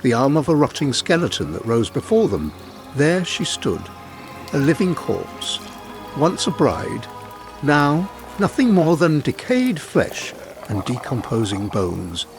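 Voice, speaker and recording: A man narrating a scripted folk tale in an expressive, theatrical manner. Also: medium pitch. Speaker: storyteller narrator.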